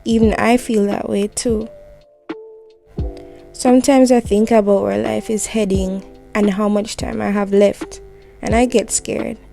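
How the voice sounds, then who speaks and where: Woman, mellow dark tone, mid pitch, gentle and reassuring, intimate voicemail-style monologue, faint music behind. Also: fairly even intonation, measured pace, vocal fry. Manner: gentle, reassuring, intimate, addressing the listener directly. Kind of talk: heartfelt spoken monologue in the form of a voicemail.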